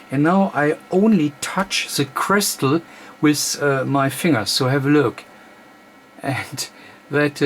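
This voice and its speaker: A man's voice: medium pitch, slight background noise. A man who is not a native English speaker, giving an unscripted hands-on technical demonstration in short, hesitant chunks. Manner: halting, hesitant phrasing in short broken chunks. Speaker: host of electronics repair demonstrations. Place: indoor electronics workbench.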